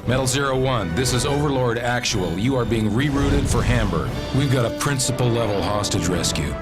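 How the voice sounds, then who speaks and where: An actor playing a stern, authoritative military commander in a video game, giving clipped briefings over the radio. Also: male voice, mid-range voice, expressive, moderate pace, natural voice. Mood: grave, resolute. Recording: loud dramatic music behind.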